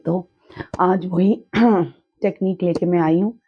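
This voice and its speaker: Calm woman teacher with a sore throat. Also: fairly low pitch, takes it slowly, breathy edge, lo-fi sound. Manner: calm, reassuring, mixes English words into her talk. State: sore throat, clears throat often. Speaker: chakra healing teacher. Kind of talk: casual spoken tutorial.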